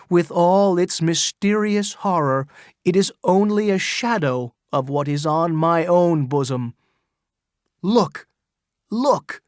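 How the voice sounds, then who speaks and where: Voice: medium pitch, dry recording, studio quality, close to an everyday voice. A storyteller voicing a dying young male minister who speaks in a solemn, declaiming way.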